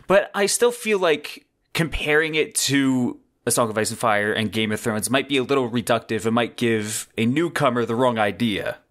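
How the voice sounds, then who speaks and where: A man's voice: mid-range voice, moderate pace, few pauses, fairly bright tone. A man hosting a solo podcast, giving semi-scripted commentary in a wordy, enthusiastic, conversational way into a close desk microphone. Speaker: podcast host. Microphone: close desk-mounted microphone on boom arm.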